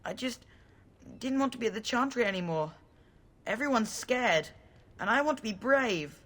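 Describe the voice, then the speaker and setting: A woman's voice: fairly high pitch, lively intonation, moderate pace, fairly bright tone. A woman playing a scared but stubborn small orphaned village boy in a video game, defiant and pleading in a childlike way. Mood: timid, sheepish. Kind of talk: video game dialogue acting.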